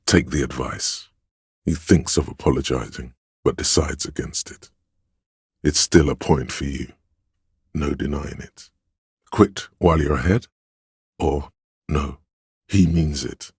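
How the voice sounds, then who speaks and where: Game role: stern, imperious male voice of authority, extremely low register, dry close sound, declamatory delivery. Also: unhurried, long pauses, husky, dry recording, full character voice. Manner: declamatory, imperious, clipped pronouncements. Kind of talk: scripted video game narration and voice acting.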